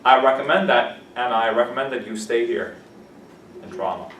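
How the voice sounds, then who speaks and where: A man's voice: medium pitch, brisk, fairly bright tone, a little hiss or hum. Playful male English teacher in echoey classroom. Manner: playful, laughs often, gives example sentences. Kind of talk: classroom vocabulary lesson. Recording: echoey classroom, camera from a distance.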